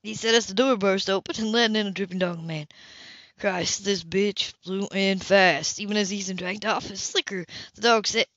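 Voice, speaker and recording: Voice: medium pitch, normal speed, fairly bright tone, no echo. An amateur reader reading a novel aloud, stumbling over and rereading some phrases. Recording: dull lo-fi home recording.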